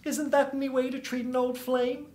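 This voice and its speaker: Man doing a high-pitched seductive noir dame. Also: little pitch movement, normal speed, a little mellow. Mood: flirtatious. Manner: sweet, breezy, cajoling. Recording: roomy stage sound.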